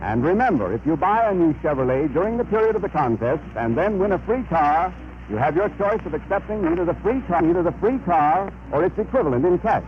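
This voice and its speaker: A man's voice: high voice, lively intonation, brisk, pauses often. A man announcing a scripted sales message in the manner of 1930s radio. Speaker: radio announcer reading an advertisement. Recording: muffled old radio broadcast with noise.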